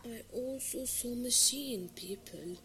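A woman narrating a story in a slow, hushed, breathy voice, heard as a played clip. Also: a little high, poor audio. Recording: played clip with faint music.

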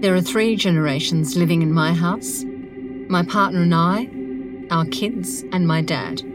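A woman's voice: on the low side, unhurried, low-quality recording. A woman narrating a scripted audio guide, speaking conversationally and giving the listener direct instructions. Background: music.

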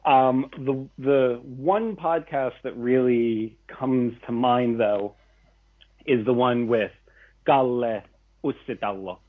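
Young guy calling in to a podcast, slow and enthusiastic, kinda self-deprecating, tinny phone sound. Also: medium pitch. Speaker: podcast guest. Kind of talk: casual podcast chat. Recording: tinny phone-like call audio.

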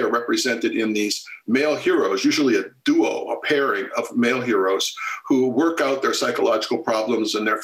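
A man's voice: mid-range voice, a bit bright. Man explaining in a roomy space. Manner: measured and expository, many fillers and restarts. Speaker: film scholar guest. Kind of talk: interview answer, lecture-like.

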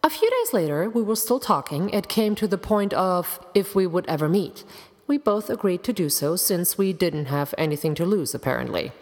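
Young German-accented woman, lively swooping pitch, theatrical self-ironic storytelling, dramatic emphasis, long reverb. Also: moderate pace. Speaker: podcast host, actor. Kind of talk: scripted comic personal monologue. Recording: long reverb, music behind the voice.

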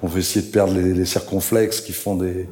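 Casting: older male panel guest, very low voice, earnest and halting. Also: little pitch movement, mellow. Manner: earnest, halting, frequent fillers. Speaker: author guest. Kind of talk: panel conversation.

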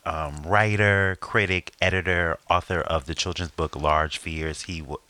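A man co-hosting a podcast in casual chat, playful and laughing often, jumping between loud bursts and quiet asides. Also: sits low, fairly even intonation, measured pace, no room sound. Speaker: podcast co-host.

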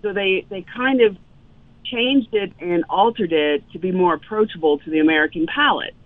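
A woman's voice: medium pitch, moderate pace, no echo. A woman, a chef telling stories as a guest in a casual interview, with many ums. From Louisiana, US South. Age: 50s. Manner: animated storyteller, frequent ums. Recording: tinny phone line, dry.

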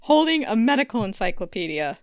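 A woman's voice: a little high, big pitch swings, a little fast, clear, resonant tone. A woman co-hosting a podcast, chatting casually and reading comic script directions. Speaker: podcast co-host.

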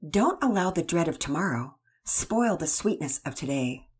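A woman voicing a patient, devout father who gently teaches his daughter. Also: on the low side, very animated, moderate pace, breathy edge. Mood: instructive. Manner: gentle, instructive, scripture-quoting.

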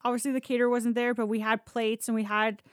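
A woman's voice: fairly high pitch, little pitch movement, quick pace, clear, resonant tone, no echo, clean studio sound. A Canadian woman telling stories casually on a podcast, candid and sweary, often acting out dialogue as she goes. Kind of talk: casual conversational storytelling.

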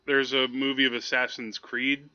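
A man with a forceful, bright voice talks casually as a podcast co-host. He is animated and tends to hesitate mid-thought. The sound is dry and close, a little lo-fi. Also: medium pitch, normal speed, dry recording. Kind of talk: casual movie chat.

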